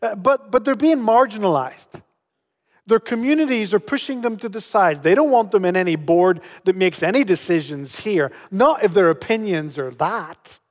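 High-voiced man preaching, hall echo. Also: animated, moderate pace. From Spain. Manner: earnest, repeats words when starting a phrase. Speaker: pastor. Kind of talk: sermon. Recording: long hall reverb.

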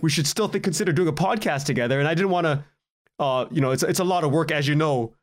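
A man's voice: on the high side, fast talker. A man, a podcast guest telling anecdotes in a casual, self-deprecating way.

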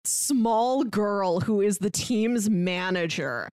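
A woman's voice: mid-range voice, slow pace, a little mellow, smooth, no room sound, clean studio sound. A woman telling a story informally in a casual podcast chat, often dropping in "like". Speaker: podcast speaker discussing books.

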